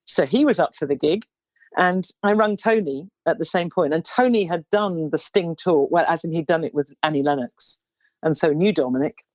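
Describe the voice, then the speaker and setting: A woman in her sixties with an unusually low, baritone voice, talking in a loose, meandering way as she recalls her career. The sound is dry and close, with no room around it. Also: moderate pace, dry recording. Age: 60s. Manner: rambling, warm storytelling with restarts. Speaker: singer. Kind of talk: relaxed interview chat.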